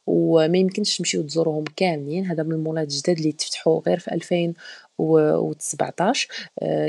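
Fast, husky Moroccan woman vlogging. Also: low voice, dark tone, no echo. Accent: Moroccan Arabic. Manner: chatty, frequent hesitations and fillers. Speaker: travel vlogger. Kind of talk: casual travel vlog voice-over.

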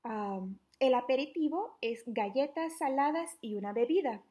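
A young adult woman reading a children's book aloud interactively, in an animated, playful, questioning manner. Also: high voice, unhurried. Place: small living room at home, indoors.

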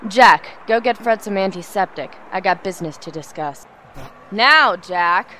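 A woman's voice: medium pitch, expressive, unhurried, pushed and bright, slight background noise, poor audio. Blunt, tough fighter woman , acted. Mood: bossy, irritated. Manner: blunt, commanding. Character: tough, brisk female fighter and mentor. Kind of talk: fantasy audio drama acting.

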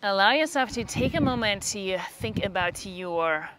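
A woman leading a guided meditation, giving unhurried instructions in short phrases with long pauses between them. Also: mid-range voice, lively intonation, fairly bright tone, a little hiss or hum.

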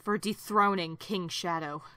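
Female cartoon echidna commander, played by a woman: low register, grave, vengeful, menacing and measured. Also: fairly bright tone, no echo. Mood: stern, grave. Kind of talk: cartoon character voice demo.

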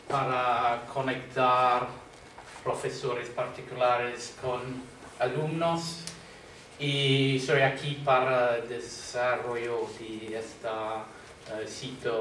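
A man with an English accent, a journalist whose first language is English, introducing himself at a meetup with frequent hesitant pauses. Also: mid-range voice, a bit bright. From England. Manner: hesitant, searching for words. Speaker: journalist and website founder. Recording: echoey room, distant mic, background noise.

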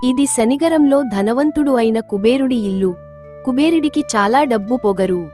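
A woman's voice: a little high, moderate pace, a little mellow, faint music behind. A woman reading scripted narration for a moral story.

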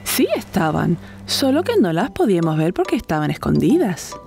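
A woman's voice: lowish voice, sing-song, normal speed, gravelly, darkish tone, faint music behind. A woman voicing a kind, cheerful, attentive mother in a children's cartoon, explaining simply and encouragingly.

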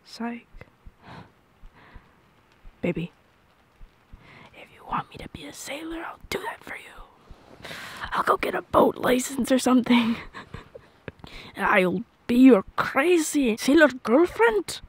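A woman's voice: sits high, lively intonation, takes it slowly, pauses often, husky, dry recording. A woman doing playful girlfriend ASMR, whispering and murmuring in a goofy, intimate manner. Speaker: ASMR roleplay performer.

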